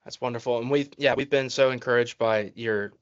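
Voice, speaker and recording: A man's voice: medium pitch, fairly even intonation, brisk. A man hosting a podcast, affirming his guests and passing the turn to each of them. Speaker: podcast host. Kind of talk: interview hosting. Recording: muffled lo-fi podcast audio.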